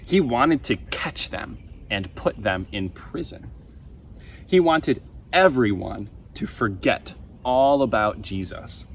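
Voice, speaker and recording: A young man reading a children's Bible story aloud in a deliberate, expressive way, with emphatic pauses. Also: lowish voice. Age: young adult. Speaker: storybook reader for a camp story time. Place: outdoors on a wooden deck by a pond. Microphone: camera a few feet away. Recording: outdoor ambient noise.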